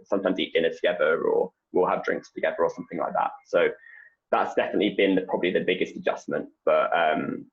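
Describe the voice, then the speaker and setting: A young man from England with an English accent, answering interview questions in a relaxed, rambling way with lots of fillers. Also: low-pitched, fast, dull audio. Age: young adult. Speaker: postdoc researcher guest.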